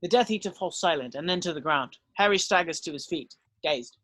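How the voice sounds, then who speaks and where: Man, narrator, scripted stage directions, slightly high pitch, dry close sound. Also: animated, brisk, fairly bright tone, no room sound.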